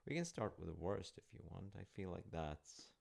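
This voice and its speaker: Low, gravelly, gentle dad, acted by a man. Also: expressive, full character voice. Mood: gently probing. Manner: hesitant, reassuring, thoughtful with pauses. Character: gentle, attentive, slightly awkward middle-aged dad having tea. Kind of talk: soft-spoken wholesome audio roleplay addressed to listener.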